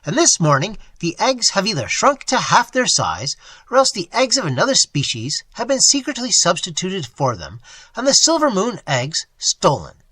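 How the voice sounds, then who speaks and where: A man voicing an excitable, nearsighted little male scientist who shouts angrily. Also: moderate pace, dry recording, full character voice. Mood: furious. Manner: shrill, angry, shouting.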